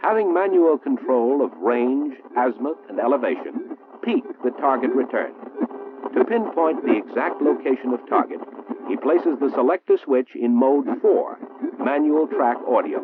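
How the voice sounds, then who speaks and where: An American man reading a 1960s military training film narration in a formal, measured, precisely enunciated manner. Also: medium pitch, big pitch swings, background noise. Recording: muffled, roomy 1960s film soundtrack, phone-like.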